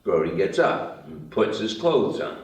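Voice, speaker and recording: A man's voice: fairly low pitch, sing-song, a little slow, muffled recording. A man reading out stage directions in scripted narration at a table read. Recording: very echoey room, distant mic.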